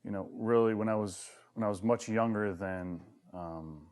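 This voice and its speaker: Deep low guy voice kinda hoarse, lots of ums, telling an interview story. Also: a little mellow. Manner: halting, many fillers and restarts. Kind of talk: personal interview recollection.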